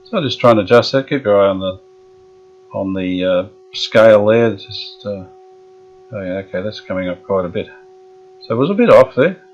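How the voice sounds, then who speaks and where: A man's voice: lowish voice, normal speed, pauses often. A man restoring an old radio, thinking aloud as he talks through each step. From Australia. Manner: relaxed, thinking aloud with frequent fillers. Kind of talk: hands-on workshop narration. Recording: dull lo-fi workshop audio.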